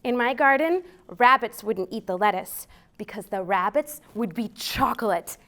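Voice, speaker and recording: An American woman hosting a children's storytime, reading aloud in an expressive, deliberate way for young listeners. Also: a little high. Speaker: library storytime host.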